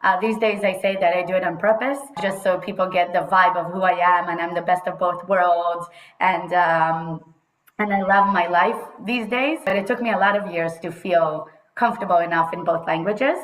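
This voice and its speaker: A woman's voice: fairly low pitch, steady tone, moderate pace. Woman, 30s, from Israel; chatty interview guest, echoey room sound. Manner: chatty, mixes in Hebrew words, laughs often. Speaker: guest, HR consultant. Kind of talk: casual interview conversation.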